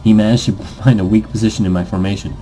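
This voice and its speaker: A man with a very soft-edged, dark and relaxed voice on the low side hosts a let's-play. He reads a visual novel aloud and drops in playful, offhand commentary. Faint music plays behind him. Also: quick pace. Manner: playful, goofy improvised asides.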